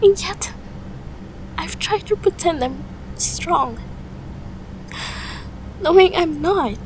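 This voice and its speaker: A woman's voice: extremely high pitch, animated, slow pace, a little hiss or hum, exaggerated voice, low-quality recording. A young woman playing an overwhelmed, emotional teenage girl mage in a fantasy audio drama. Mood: upset, defiant. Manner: emotional, pleading.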